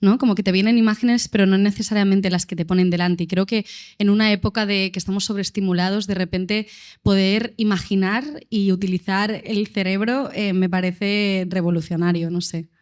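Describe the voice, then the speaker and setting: A woman's voice: mid-range voice, steady tone, brisk, a little mellow. A woman speaking casually on a panel, chatty and a little hesitant, full of enthusiasm. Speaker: actress at a press event.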